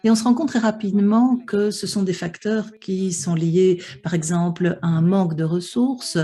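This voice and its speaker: A woman's voice: mid-range voice, normal speed, mellow. A woman interpreting a conference panel live, with many hesitations and restarts. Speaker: simultaneous interpreter for an online panel.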